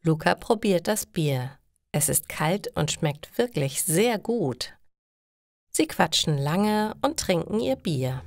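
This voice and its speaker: Female storyteller for language learners, careful and unhurried, very animated intonation, dry sound. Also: fairly low pitch, dry recording. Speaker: narrator of language-learning stories. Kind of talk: scripted narration for language learners.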